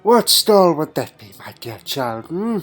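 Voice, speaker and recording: A man's voice: on the high side, very animated, unhurried, fairly bright tone. Elderly time traveller, played by a man: doddering, fussy, imperious but fond, hums questioningly; audio drama over music. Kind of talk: fan audio drama acting. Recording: music underneath.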